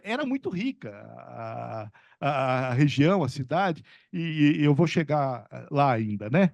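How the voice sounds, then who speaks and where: A man's voice: a little high, a little mellow, no room sound. A middle-aged man from São Paulo state, an economics professor speaking at a panel discussion in a rambling, conversational way with many fillers and restarts. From São Paulo state, Brazil.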